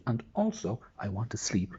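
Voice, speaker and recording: A man voicing a small eager frog that croaks "ribbit" and begs politely, in a storybook reading recorded on dull lo-fi audio with a faint hiss. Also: fairly low pitch, very animated, normal speed, dark tone, voice pushed up. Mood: wheedling. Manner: croaky ribbit sounds, wheedling.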